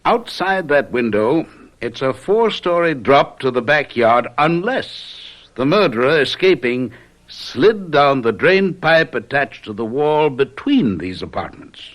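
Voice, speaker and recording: An actor playing a confident, theatrical detective in a radio mystery drama, speaking in a deliberate, emphatic, urbane way. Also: male voice, mid-range voice, sing-song, rough recording. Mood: explaining, assured. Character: confident, theatrical male detective lecturing his companions.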